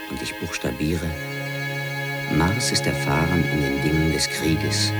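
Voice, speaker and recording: An actor playing an intense, bookish man who narrates dreamlike memories in a dramatic, literary style for a radio play. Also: a bass voice. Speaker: first-person narrator. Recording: loud music behind the voice.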